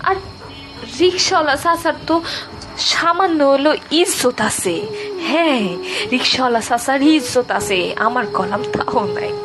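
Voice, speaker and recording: Audio drama: woman playing distraught Bangladeshi street woman, high register, repetitive pleading delivery, music underneath. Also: natural voice, poor audio. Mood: pleading, bitter. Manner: stammering, repeats words, drawn-out. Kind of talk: emotional audio drama acting. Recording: music behind the voice.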